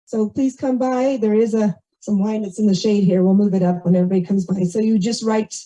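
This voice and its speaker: A woman's voice: mid-range voice, steady tone, fairly quick, dark tone. A woman making casual, chatty, rambling announcements to a community gathering through an event microphone. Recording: dull, muffled event microphone audio.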